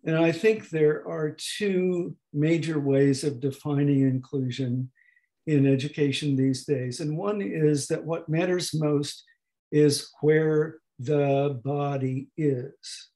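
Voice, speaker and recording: A man in his eighties lecturing slowly over a video call, with a hoarse, gravelly voice that is not fully distinct and that often pauses and restarts. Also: fairly high pitch, fairly even intonation, dark tone. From United States. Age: 80s. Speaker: professor emeritus giving a talk. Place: home room at a desk. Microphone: webcam on a video call.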